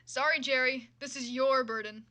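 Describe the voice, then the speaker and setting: A woman's voice: high-pitched, steady tone, moderate pace, heavy background noise, close to an everyday voice. A woman voicing a flippant, unhelpful man in a comic fan fiction with emphatic delivery.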